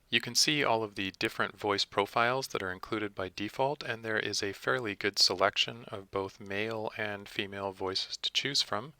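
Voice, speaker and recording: A man narrating a step-by-step software tutorial in a methodical, instructional manner. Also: lowish voice, vocal fry, no echo.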